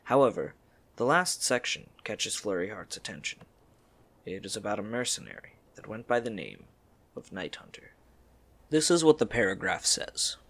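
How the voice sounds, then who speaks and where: Low-voiced man narrating with lively swings. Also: normal speed. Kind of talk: scripted story narration.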